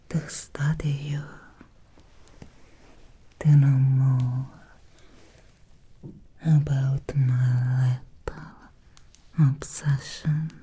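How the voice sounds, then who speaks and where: A woman playing an ancient, possessive female vampire in an ASMR roleplay, speaking in a breathy, seductive whisper with lingering, deliberate pauses. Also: sits low, warm tone, exaggerated voice. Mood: intimate, obsessed.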